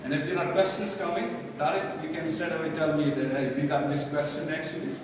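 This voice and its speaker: Male online English tutor, Pakistani accent, slightly high voice, didactic question-and-answer delivery, recorded in a very reverberant room. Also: fairly even intonation, fairly quick. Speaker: English teacher. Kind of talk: live online lesson to viewers. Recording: very echoey hall, distant mic, faint noise.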